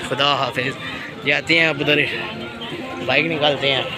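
A man's voice: fairly high pitch, steady tone, moderate pace, over music. A young man from Pakistan casually narrating a walking vlog outdoors, pointing things out as he goes and talking into a handheld phone. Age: young adult. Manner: chatty, pointing things out as he walks. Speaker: vlogger. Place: outdoors on streets and a market. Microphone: phone camera held in hand. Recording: loud outdoor street and traffic noise.

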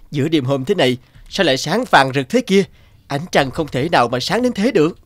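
A man's voice: on the high side, lively intonation, normal speed, dry recording. A man voicing a simple, greedy, easily amazed young peasant with an exclamatory manner in a children's cartoon fairy tale. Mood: astonished. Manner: exclamatory, muttering, wondering.

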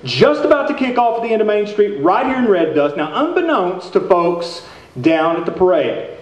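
A man's voice: sits high, very animated, moderate pace. An older man playing a folksy small-town radio station host in a live radio play, with showy announcer patter. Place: theater stage with stand microphone. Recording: echoey stage hall, dull sound.

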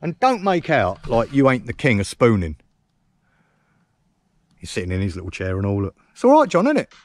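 A man's voice: mid-range voice, huge pitch swings, normal speed, a little mellow, no room sound. Cheeky man in the woods. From England. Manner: cheeky, teasing banter with dry self-mockery. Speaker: camping vlogger. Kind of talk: casual outdoor chat to camera. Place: woodland camp outdoors. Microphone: camera mounted close on a tripod.

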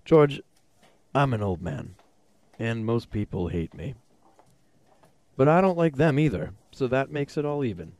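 A rich old schemer in a radio drama. His gravelly voice swings widely in pitch, dry and close, as he talks down to his listener with smooth, deliberate self-assurance. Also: lively intonation, a little mellow, no room sound. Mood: calculating, smug. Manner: deliberate, smooth-talking, condescending. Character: cunning, domineering rich old businessman, bluntly self-assured.

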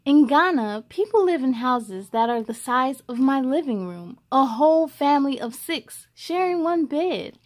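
Casting: young adult woman, high voice, children's storyteller, expressive and encouraging read-aloud delivery. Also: measured pace, few pauses.

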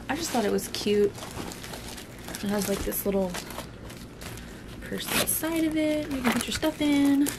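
A woman in her thirties chatting informally and self-deprecatingly to her camera in a small bedroom, as a vlogger. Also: on the high side. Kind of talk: casual vlog chat to camera. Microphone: camera at arm's length or set nearby. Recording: noisy camera audio with room hum.

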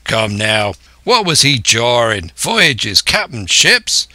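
A man acts out a hearty old-time seafaring landlord from an adventure storybook. He is jovial yet domineering, booming out salty sailor talk in a bright, forceful, close and dry voice. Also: big pitch swings, slow pace, no room sound, exaggerated voice. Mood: stern, bullying.

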